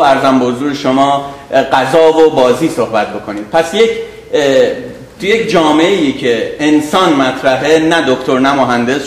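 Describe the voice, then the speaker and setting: A man's voice: mid-range voice, reverberant. A man in the audience making a polite, formal comment, illustrating his point with examples. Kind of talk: comment from the floor. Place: library auditorium.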